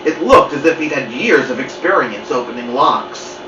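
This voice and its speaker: Animated man reading a story, muffled and roomy. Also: medium pitch, moderate pace, over music. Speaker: story-time reader. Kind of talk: animated children's book narration. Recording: muffled, roomy, distant mic.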